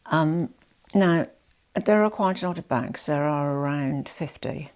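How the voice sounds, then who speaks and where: A woman's voice: low voice, a little slow. A woman chatting through a handbag collection vlog while nursing a head cold, sounding nasal and rambling with lots of asides. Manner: rambling, frequent "you know" asides, drops to soft near-whispers. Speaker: vlogger showing her handbag collection.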